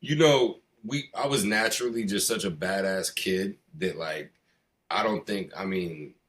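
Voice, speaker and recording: Brief: middle-aged American man, hip hop artist, very low voice, slangy and loose interview talk. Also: measured pace, a bit bright. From US East Coast. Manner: slangy, rambling, peppered with "you know" and "man". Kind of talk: casual conversation.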